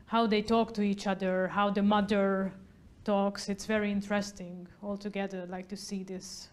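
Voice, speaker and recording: A young woman interviewing a guest in a small indoor studio on a lapel mic, chatty with many fillers, trailing off softly at the ends of her lines. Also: mid-range voice, little pitch movement, normal speed. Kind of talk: festival interview.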